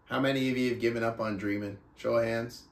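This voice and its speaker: A man who speaks as a motivational book club host, reading aloud and coaching in an emphatic, deliberate, rhetorical manner. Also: mid-range voice, steady tone. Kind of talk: audiobook-style reading aloud and motivational talk. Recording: roomy, echoing sound.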